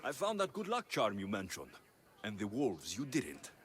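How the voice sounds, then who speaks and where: An actor playing a confident, dry-witted ancient Greek mercenary in a video game, direct and wry. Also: male voice, fairly low pitch, wildly swooping pitch, normal speed. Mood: assured. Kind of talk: video game dialogue acting.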